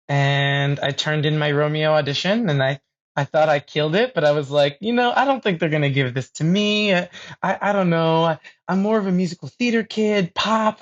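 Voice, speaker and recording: Male actor guest, casual interview, upbeat storytelling, stammered restarts, muffled lo-fi audio. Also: fairly high pitch.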